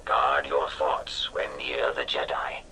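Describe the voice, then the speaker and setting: An actor playing a shadowy, menacing conspirator in a video game, heard over a radio transmission. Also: male voice, very deep voice, fairly even intonation, slow, pushed and bright, whispered, full character voice. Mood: secretive, flat. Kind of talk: video game cutscene acting. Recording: noisy, distant radio transmission.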